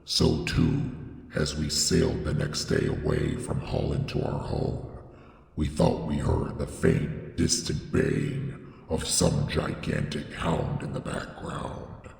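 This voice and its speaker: An extremely low-voiced man narrates a horror tale in heavy, crackling fry. His delivery is solemn, deliberate and theatrical, and every phrase trails off into a long, echoing reverb. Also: flat delivery, a little mellow. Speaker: narrator reading a horror story. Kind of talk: scripted dramatic horror audiobook narration.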